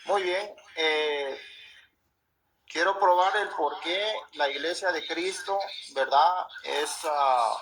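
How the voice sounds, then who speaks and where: A man's voice: fairly high pitch, slow, fairly bright tone, slight background noise, rough recording. A man with a Guatemalan accent preaching, reciting and explaining scripture, often adding tag questions. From Guatemala City, Guatemala. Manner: frequent "¿verdad?" tags. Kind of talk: sermon with scripture reading and exposition.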